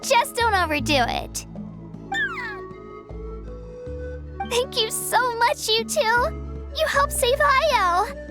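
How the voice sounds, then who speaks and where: An earnest, soft-hearted young child from a fantasy video game speaks in a very high, youthful voice. The villager is caring and sincere, and music plays clearly behind the words. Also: female voice, big pitch swings, slow pace, exaggerated voice. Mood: relieved, delighted.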